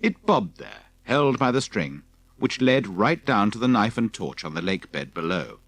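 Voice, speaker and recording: A man's voice: on the low side, sing-song, moderate pace, a bit bright, dry recording. A man reading scripted narration from a children's adventure story as an audiobook narrator.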